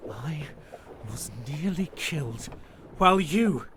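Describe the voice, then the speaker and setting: A betrayed, seething sailor in a horror audio drama. He accuses slowly and theatrically, his forceful voice swinging widely in pitch. Ship noises sit behind him. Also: animated, slight background noise. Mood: furious. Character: furious, betrayed male sailor.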